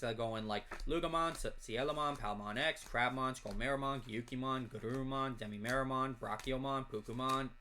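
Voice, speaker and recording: A man's voice: medium pitch, pushed and bright. A man chatting casually while opening card packs, rambling from topic to topic and reading off card names. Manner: reads off card names in quick lists. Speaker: card unboxing host. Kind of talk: casual unboxing chat.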